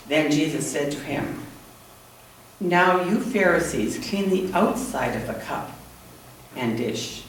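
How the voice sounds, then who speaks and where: A woman reading scripture aloud in a church service, measured and deliberate. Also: low-pitched. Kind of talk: scripture reading with a short introduction. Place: indoors in a church. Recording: roomy echoing church, distant mic, faint noise.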